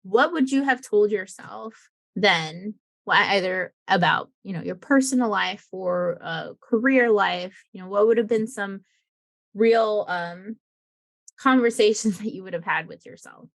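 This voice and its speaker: A woman's voice: mid-range voice, measured pace, creaky, dry recording. A woman co-hosting an interview podcast, speaking casually and affirmingly, feeling her way through her phrasing. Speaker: podcast co-host.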